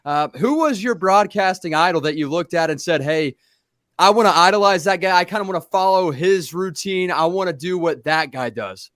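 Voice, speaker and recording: A man's voice: high-pitched, normal speed, a bit bright, dry recording, clean studio sound. A man hosting a podcast, asking formal leading questions and recapping what his guest has said. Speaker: podcast host. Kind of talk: scripted interview questions.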